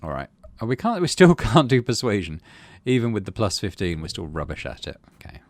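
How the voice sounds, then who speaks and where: Middle-aged man, low pitch, very varied intonation, casual game commentary, dry muttered asides. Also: big pitch swings, fairly quick, dry recording. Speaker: gaming channel host.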